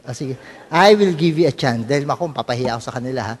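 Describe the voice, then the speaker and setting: A man's voice: medium pitch, lively intonation, fast talker, slightly rough. A man with a Filipino accent performs a comic stage dialogue as a teacher, questioning insistently and with some exasperation. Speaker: puppeteer comedian playing a teacher. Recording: echoey hall, faint music.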